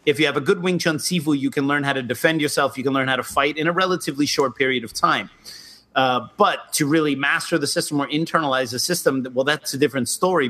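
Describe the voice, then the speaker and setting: A man co-hosting a casual martial arts podcast, explaining his teaching approach in a measured way. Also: fairly high pitch, fairly bright tone, husky. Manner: explanatory, measured, mildly critical. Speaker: co-host, martial arts instructor.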